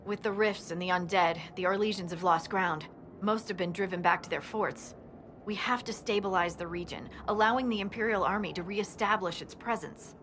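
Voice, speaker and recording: An actress playing a dutiful military scout officer in a video game, giving a formal, sober briefing. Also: female voice, mid-range voice, moderate pace, a bit bright, a little hiss or hum, lightly acted.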